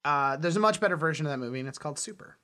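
A man's voice: a little high, lively intonation, very fast, sharp tone. A man co-hosting a podcast, chatting casually and animatedly, sometimes slipping into mimicry. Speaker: podcast co-host. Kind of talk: casual film and comics discussion.

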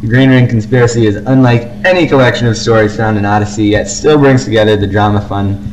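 A man's voice: on the low side, fairly even intonation, fast talker, music in the background, low-quality recording. A young man chatting casually as co-host of a fan podcast, on an amateur home recording.

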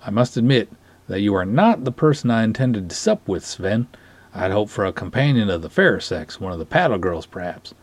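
A pompous, lovestruck lake patrolman, acted out by a man in a dramatic story reading. He speaks in florid, dignified phrases, with a husky voice, swooping intonation and a dry, close sound. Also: on the low side, very animated, moderate pace, dry recording. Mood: wistful.

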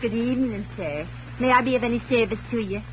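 A woman's voice: on the high side, quick pace, natural voice. An actress playing a flirtatious, gossipy switchboard operator in a 1940s radio drama, with a sassy, chatty, colloquial manner. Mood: flirty, curious. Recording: muffled old radio recording, faint hiss.

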